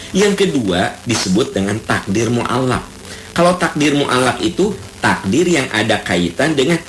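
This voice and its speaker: A man with a Sundanese accent preaching on the radio in a low, animated voice that rises and falls widely. He teaches by repeating key terms and reciting Arabic verses. The sound is roomy, with faint ambience behind him. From Bandung, Indonesia. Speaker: Islamic preacher. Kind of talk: radio sermon.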